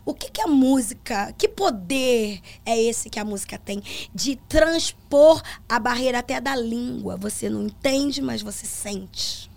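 A woman's voice: on the high side, a little slow, vocal fry, few pauses. A middle-aged woman with a Brazilian accent, a gospel singer telling stories in a casual interview and acting out the dialogue. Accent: Brazilian Portuguese. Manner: animated storyteller, acts out quoted dialogue.

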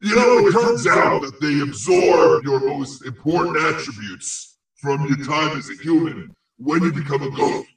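A man playing a blustering, gravelly, echoing angry ghost. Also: fairly high pitch, lively intonation, a little slow, fairly bright tone. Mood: boastful. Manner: blustering, sputtering, crude insults. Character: angry, boastful, insulting male ghost with a cough. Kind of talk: comic audio play. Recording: very echoey, distant microphone.

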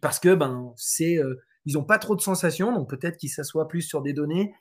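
A man from France with a fairly high voice answers interview questions in relaxed conversation. He hesitates a lot, filling gaps and restarting his sentences as he explains. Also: moderate pace. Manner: hesitant, many fillers and restarts. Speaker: Trackman representative on golf tours.

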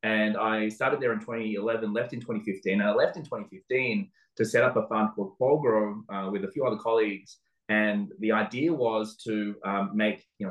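Low-voiced Australian man in a roomy space. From Sydney, Australia. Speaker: interview guest, venture capital investor. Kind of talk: conversational interview answer. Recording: roomy, echoing sound.

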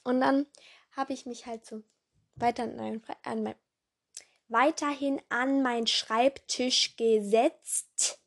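A young woman with a hoarse voice hosting a casual podcast in a rambling way, full of fillers and self-corrections, jumping between voices. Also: fairly high pitch, fairly even intonation, slow. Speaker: podcast host.

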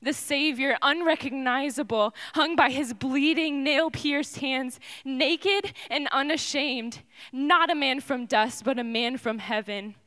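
A young woman performing dramatic spoken word poetry in a slow, solemn way that often drops to a hush. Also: high voice.

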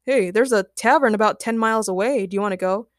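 Lady doing a chill sarcastic producer guy, dry and unbothered, bit lower, fanfic story voice. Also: quick pace, no echo, natural voice. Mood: casual. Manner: dry, sardonic, complaining. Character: cool, sardonic, unruffled male producer. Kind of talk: acted fan fiction reading.

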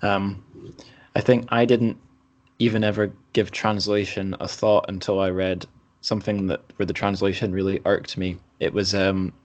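Male podcast host, lowish voice, casual and self-deprecating interviewer. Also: flat delivery, normal speed.

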